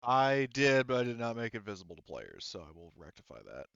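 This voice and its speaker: A man's voice: mid-range voice, wildly swooping pitch, a little fast, vocal fry, a bit bright, smooth, no echo, muffled recording. A man acting as game master, casually moderating a tabletop roleplaying game.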